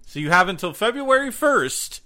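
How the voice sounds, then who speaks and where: A man's voice: high-pitched, big pitch swings, normal speed, pushed and bright. A man co-hosting a podcast, making casual announcements with jokey, playful asides. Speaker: podcast co-host.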